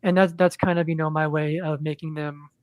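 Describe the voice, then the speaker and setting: Man, podcast guest, monotone, rambling, lots of ums, dry close sound. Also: on the high side, brisk, clean tone, no echo. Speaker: podcast guest, indie comic creator. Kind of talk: casual podcast chat.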